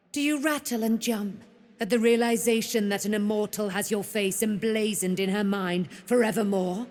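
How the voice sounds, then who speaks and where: A woman's voice: on the high side, no room sound. A woman playing a proud, noble immortal warrior in a fantasy video game, with grand, archaic, theatrical speech. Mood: scornful.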